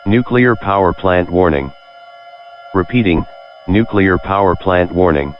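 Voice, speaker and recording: A man's voice: sits low, unhurried, muffled recording. A male voice playing a grave, impersonal emergency broadcast announcer reading a formal warning.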